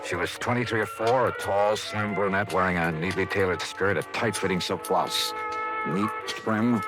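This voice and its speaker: A man playing a brisk, hard-boiled investigator telling his case in 1950s American radio detective style. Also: low-pitched, natural voice. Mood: wry narrating. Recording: vintage radio recording with music.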